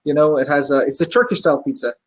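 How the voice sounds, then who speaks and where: A man with a husky, slightly breathy voice, talking quickly as a guest in a casual chat. He tells stories in an animated way, pitched a bit high for a man and full of "uh"s and restarts. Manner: animated storyteller, many fillers. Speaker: pizzeria owner and guest.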